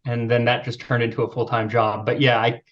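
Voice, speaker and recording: A man with a youthful-sounding voice talks as a podcast guest. His speech is relaxed and self-deprecating, with plenty of fillers. The recording sounds a little dull and lo-fi. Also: mid-range voice, fairly quick. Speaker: podcast guest, video creator. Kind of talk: casual interview chat.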